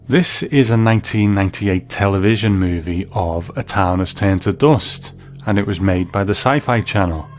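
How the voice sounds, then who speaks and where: A man with a low voice presents as a host, narrating a scripted commentary on old television and radio. Soft music plays lightly behind him. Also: normal speed.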